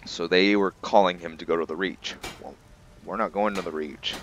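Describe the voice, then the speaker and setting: A man's voice: low-pitched, steady tone, normal speed, slight background noise. A man hosting a roleplay gaming session, giving commentary on his character as he plays. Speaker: gaming roleplay host and player. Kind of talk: slow roleplay gameplay commentary. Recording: dull muffled mic with faint music.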